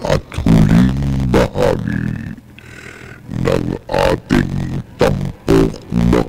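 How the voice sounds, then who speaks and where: A man announcing a horror radio drama in an ominous, drawn-out theatrical delivery. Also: a bass voice, creaky. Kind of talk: scripted dramatic radio narration. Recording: loud music behind the voice. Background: loud music.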